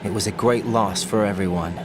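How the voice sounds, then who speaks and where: An actor playing a young rookie special agent in a video game, earnest, terse and full of questions. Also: male voice, on the low side, fairly even intonation, brisk, background noise, close to an everyday voice. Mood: subdued. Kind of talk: video game voice acting, dramatic.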